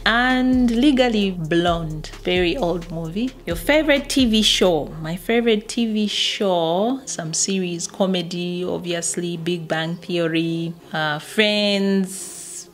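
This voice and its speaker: Unhurried low-voiced Zambian woman. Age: 40s. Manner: unhurried, reads questions aloud then answers. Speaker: YouTuber. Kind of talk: get-to-know-me Q&A, reading questions.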